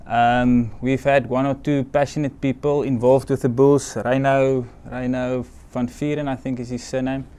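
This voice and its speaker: A middle-aged South African man for whom English is a second language, answering interview questions in a modest, hesitant way with many pauses. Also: medium pitch, level tone, dark tone, smooth. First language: Afrikaans or other non-English language. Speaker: team doctor, guest.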